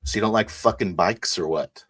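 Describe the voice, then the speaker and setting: Male roleplayer, deep voice, hesitant improvised narration, close and dry. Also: a bit bright, breathy edge, no echo. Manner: halting, hesitant. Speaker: player.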